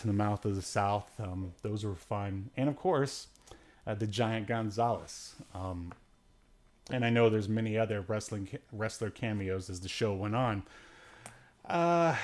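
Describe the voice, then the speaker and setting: Man, lowish pitch, casual podcast host, rambling delivery, dry humor, solo livestream chat. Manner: rambling, dryly joking.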